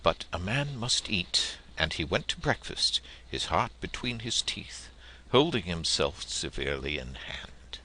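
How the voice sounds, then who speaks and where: A man reading literary prose and verse aloud as an audiobook narrator, with theatrical, deliberate phrasing. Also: deep voice, very animated, sharp tone, slight background noise, poor audio.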